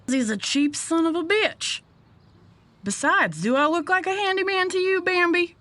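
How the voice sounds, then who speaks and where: An actress playing a gruff, sardonic working woman, blunt and bossy, in an audio drama. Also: extremely high pitch, normal speed, fairly bright tone, dry recording. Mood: blunt, instructing. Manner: blunt, dry, bossy, drawling slang. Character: gruff, sardonic Southern woman pest catcher, seasoned boss. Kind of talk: audio drama character acting.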